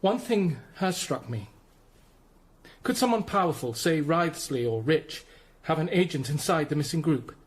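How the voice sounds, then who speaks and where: A man's voice: fairly high pitch, lively intonation, normal speed, close to an everyday voice. A man playing a discreet, shrewd and respectful Tudor court official in a radio drama. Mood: careful, shrewd.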